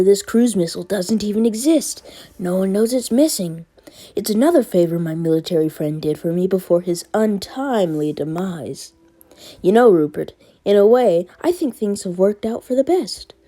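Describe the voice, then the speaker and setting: A woman's voice: on the low side, warm tone. A woman voicing a gleeful, scheming male crime boss with a chummy, theatrical, grandiose way of talking in a comic book read-along.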